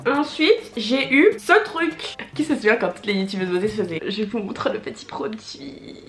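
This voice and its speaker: A seventeen-year-old girl chatting casually through a birthday haul, bubbly and slangy, with bursts of excitement. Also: a little high, roomy sound. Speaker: vlogger. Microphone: camera mic.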